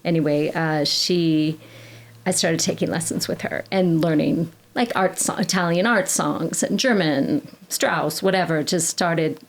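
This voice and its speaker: A woman's voice: sits low, unhurried. A woman, a classical singer, chatting as a podcast guest in a rambling, self-correcting way with many fillers. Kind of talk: casual conversational interview.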